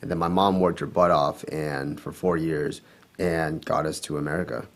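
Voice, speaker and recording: A man in his twenties, Vietnamese his first language, chatting as an interview guest, relaxed and quick to laugh. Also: very deep voice. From Philippines-born, raised in Florida. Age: 20s. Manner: relaxed, laughs easily, filler-heavy. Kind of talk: casual interview.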